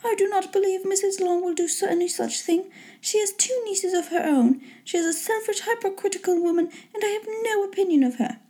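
A woman voicing an excitable middle-aged mother with frayed nerves in a storybook reading. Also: fairly quick. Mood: resentful. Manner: shrill, complaining, gushing, exclamatory.

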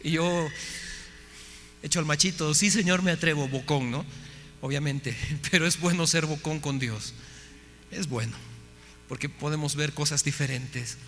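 A man's voice: fairly high pitch, measured pace, pauses often, a bit bright, long reverb tail, faint music behind. A man preaching a testimony sermon, impassioned and often asking his listeners questions. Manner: impassioned, asks the audience questions. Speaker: missionary preacher.

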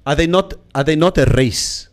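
A man's voice: on the high side, moderate pace. A man in casual conversation, challenging his partner with questions and telling stories to make his point. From Namibia. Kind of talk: casual debate, mixing English and Afrikaans.

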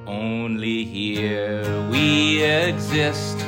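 A woman's voice: a bass voice, very slow. A woman singing a satirical protest song. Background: plucked guitar music.

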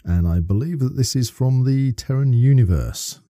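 A man's voice: mid-range voice, animated, normal speed, mellow, dry recording, studio quality. A man co-hosting a podcast in casual chat, with theatrical emphasis. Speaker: podcast co-host.

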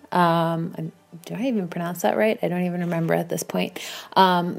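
A woman's voice: lowish voice, creaky, a little mellow. An American woman speaking in a casual, rambling, self-deprecating monologue recorded at home. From Bayonne, New Jersey, United States. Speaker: podcast guest contributor. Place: home kitchen.

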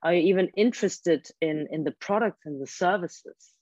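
A woman's voice: fairly low pitch, moderate pace, a little mellow, no room sound. German-native woman coaching on careers. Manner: earnest, repeats words when restarting. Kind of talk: interview guest explaining advice. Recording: dull, dry lo-fi sound.

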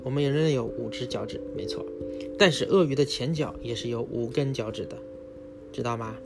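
A man's voice: mid-range voice, brisk, creaky. Dad teaching his kid stuff, casual, step by step with lots of little check-in questions, guitar music behind. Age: adult, father of a young child. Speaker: father and host teaching his child about animals. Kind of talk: casual educational chat with a child, explaining from a book.

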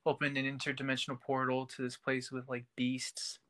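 A man's voice: mid-range voice, monotone, a little fast, a bit bright, no room sound. A man co-hosting a podcast, chatting casually about a TV series. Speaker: podcast co-host.

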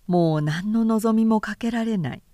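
A woman narrator reading classic literature aloud in a measured, careful audiobook delivery. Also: mid-range voice, mellow, no echo, clean studio sound.

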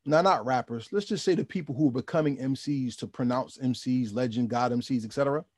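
A man's voice: mid-range voice, brisk, darkish tone, no room sound. Podcast host guy musing, kinda lecturing, dead dry room. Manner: reflective and lecturing, with repeated restarts. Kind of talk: interview questions and commentary.

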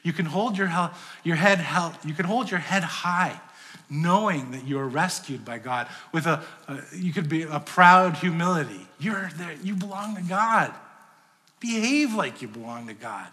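Male pastor, conversational sermon delivery, slightly high voice, reverberant church hall. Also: normal speed, sharp tone. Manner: conversational preaching, dynamic swings in volume.

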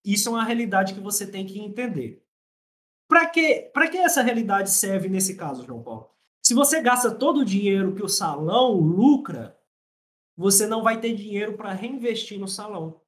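A man's voice: sits high, expressive, moderate pace. A man from Minas Gerais with a mild Mineiro accent, a podcast guest telling stories and explaining salon finances, repeating his points for emphasis. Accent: mild Mineiro Brazilian Portuguese. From Minas Gerais, Brazil. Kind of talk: casual podcast conversation, explaining.

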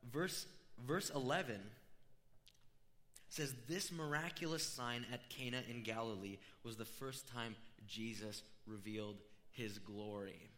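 Bright-voiced male preacher in a reverberant hall. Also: mid-range voice, a little slow. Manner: informal, storytelling, explaining step by step. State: rough voice from being unwell. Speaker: pastor preaching a sermon. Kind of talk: conversational sermon. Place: large room, amplified before a congregation.

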